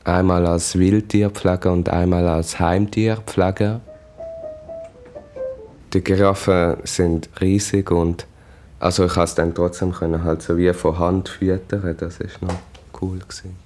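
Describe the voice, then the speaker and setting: Low, monotone Swiss teenage boy. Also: unhurried, soft background music. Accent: Swiss German. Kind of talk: casual interview recollection.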